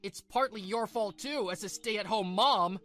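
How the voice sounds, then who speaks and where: An actor playing a domineering, short-tempered working husband in a manga dub, talking in a scornful, blaming way. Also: male voice, sits high, measured pace, a bit bright. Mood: angry, blaming.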